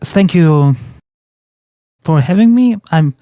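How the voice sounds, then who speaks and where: A man's voice: fairly high pitch, lively intonation, takes it slowly, warm tone, no room sound, studio quality. A man from Russia with a Russian accent, English not his first language, nervously asking a question. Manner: nervous, apologetic.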